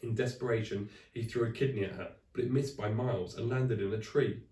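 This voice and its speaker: Low-voiced man reading a storybook, echoey room. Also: steady tone, fairly quick, mellow, distant mic. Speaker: teacher reading aloud to children. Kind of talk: expressive children's storybook narration. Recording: echoey room, away from the mic.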